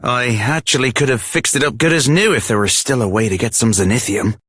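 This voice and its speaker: An actor playing a kindly, excitable veteran smith in a video game, theatrical, wistful and earnest in manner. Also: male voice, medium pitch, a little fast, slightly rough, no echo, clean studio sound. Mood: wistful, friendly. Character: kindly, excitable veteran armour smith, old comrade of a hero. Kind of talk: scripted video game voice acting.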